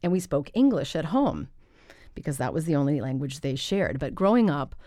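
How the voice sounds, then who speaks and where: Middle-aged woman, podcast guest, low voice, dry close studio mic, chatty storyteller. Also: moderate pace, no echo. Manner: lively storyteller, laughs often. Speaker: guest, interpreter. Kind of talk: conversational podcast interview. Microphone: studio microphone.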